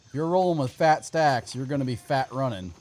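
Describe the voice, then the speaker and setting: A man's voice: mid-range voice, lively intonation, fairly quick, darkish tone. A man streaming a video game, giving casual commentary and chatting with viewers, sometimes muttering to himself. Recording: clear background game ambience noise.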